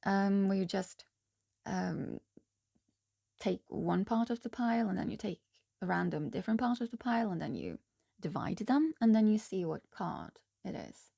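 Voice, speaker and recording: A woman with a hoarse voice doing a casual tarot reading, talking slowly and haltingly with frequent pauses and restarts. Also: medium pitch, big pitch swings, no room sound, clean studio sound. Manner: slow, halting, soft-spoken with frequent ums and restarts. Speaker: tarot reader.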